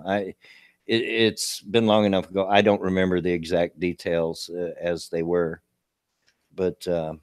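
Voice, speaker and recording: A man in his late fifties with a gravelly voice, hosting a casual livestream talk show and reading local news in a folksy, rambling way. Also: low-pitched, no room sound, studio quality. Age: 50s. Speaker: show host.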